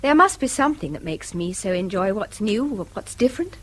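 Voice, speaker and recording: An actress playing a restrained, wistful middle-aged English wife in a radio drama, with a breathy, husky edge. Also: female voice, medium pitch, sing-song, brisk. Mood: wistful, defiant.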